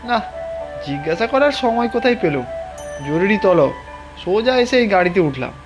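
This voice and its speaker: A man's voice: sits high, very animated, moderate pace, over music, poor audio. A man voicing a humble, resigned village day labourer in a cartoon, with folksy, plain-spoken delivery. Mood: resigned, chatty. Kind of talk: cartoon voice acting.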